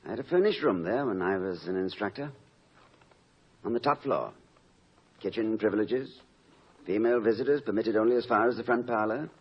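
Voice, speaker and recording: An actor playing an erudite, wry, fond middle-aged college president in a 1950s radio comedy, speaking in eloquent, dryly witty sentences. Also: male voice, on the low side, brisk, long pauses, breathy edge. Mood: nostalgic. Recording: muffled old radio recording with noise.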